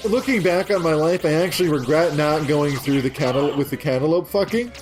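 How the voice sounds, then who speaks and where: High-voiced man telling a rambling deadpan story. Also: brisk, music in the background. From Pennsylvania, USA. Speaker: show co-host, gamer. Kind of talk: casual gaming podcast chat.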